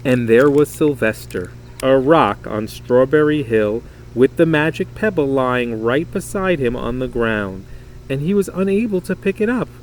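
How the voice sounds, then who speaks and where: A man reading a children's story aloud in an expressive, dramatic, emphatic way. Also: mid-range voice, measured pace, slight background noise, poor audio. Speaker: storybook reader.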